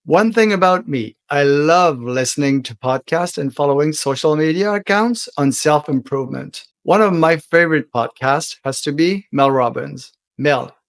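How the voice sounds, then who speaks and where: French canadian guy hosting his podcast, kinda high voice, super dry close sound, earnest and heartfelt. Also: expressive, no room sound. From Quebec, Canada. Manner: earnest and heartfelt, slows down for emphasis. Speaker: podcast host. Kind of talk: solo podcast monologue.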